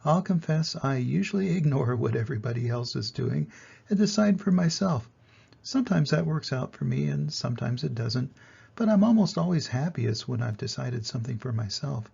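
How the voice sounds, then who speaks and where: An older man with a creaky, gravelly voice speaks to young grandchildren in a plainspoken storytime style. His delivery is mellow and soft-edged, with a husky grain. He addresses the children directly as he reads and explains. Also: medium pitch, expressive, moderate pace, dull audio.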